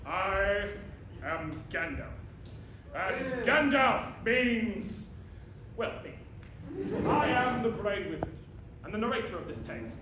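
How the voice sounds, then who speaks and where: Casting: male actor as jovial old pantomime wizard-narrator, high swooping voice, hammy, storytelling to a live house. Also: expressive. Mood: grand storytelling. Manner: hammy, exclaiming, drawn-out storytelling. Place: live stage before an audience. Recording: very echoey, noisy live theatre recording.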